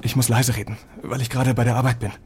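An actor playing a nervous male employee who speaks in a hushed, evasive way. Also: mid-range voice, level tone, quick pace, slight background noise, close to an everyday voice.

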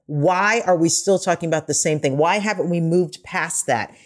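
A woman's voice: fairly low pitch, normal speed. A woman hosting a podcast in an emphatic, effusive conversational way. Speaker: guest podcast host.

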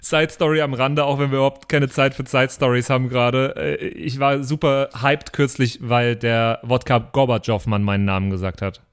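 A man's voice: medium pitch, flat delivery, moderate pace, few pauses, clear, resonant tone. A man chatting casually as a player in an improvised tabletop role-play show.

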